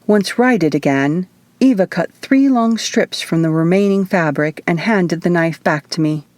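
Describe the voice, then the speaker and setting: A woman's voice: on the low side, a little slow, darkish tone, no echo. A woman reading scripted first-person fiction as an audiobook narrator. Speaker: author reading own audiobook.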